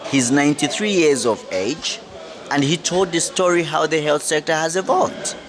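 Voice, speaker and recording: A man's voice: a little high, moderate pace. A man with a Zambian accent, a journalist, telling a long story in an interview answer with lots of fillers. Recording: crowd chatter in the background.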